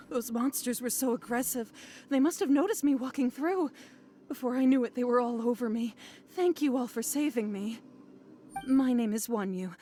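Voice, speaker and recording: A woman's voice: fairly high pitch, normal speed, warm tone, a little hiss or hum, close to an everyday voice. A woman voicing a courteous, apologetic female scholar in a video game. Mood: shaken. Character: polite, flustered female scholar.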